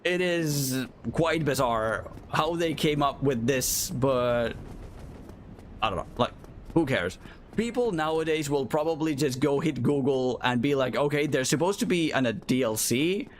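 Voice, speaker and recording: A man's voice: mid-range voice, animated, a little slow, a little hiss or hum. Chatty male streamer. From Finland. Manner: chatty, apologetic, thinks aloud. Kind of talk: live gameplay commentary, reading chat aloud.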